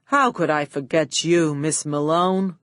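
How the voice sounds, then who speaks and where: A woman's voice: sits low, fairly even intonation, a little slow, a bit bright, no echo, clean studio sound. A woman voicing an over-apologetic male film actor in an audiobook, flustered and eager to please. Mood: ingratiating.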